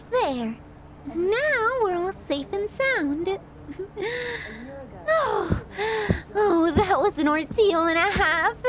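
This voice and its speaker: Very high-pitched, sweetly sadistic yandere girlfriend. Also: full character voice. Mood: sweet, weary, then giddy, menacing. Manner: cutesy, affectionate, theatrical pet names. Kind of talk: ASMR roleplay, scripted monologue to the listener.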